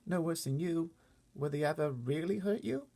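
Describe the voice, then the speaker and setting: Curious, troubled storybook little girl acted by a man, pitched up, plain-spoken and questioning. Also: moderate pace, gravelly, dark tone. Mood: anxious, curious. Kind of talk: storybook character voice.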